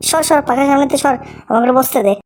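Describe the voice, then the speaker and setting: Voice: high voice, quick pace, darkish tone, natural voice. An actor voicing a put-upon, foul-mouthed male friend in a comedy cartoon, complaining and scolding. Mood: indignant. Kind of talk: Bengali comedy cartoon voice acting.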